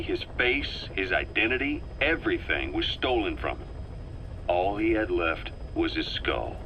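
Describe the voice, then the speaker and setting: Middle-aged intelligence operative, game briefing, deep-leaning sharp voice, serious, measured dramatic narration, faint background noise. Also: male voice, full character voice, rough recording.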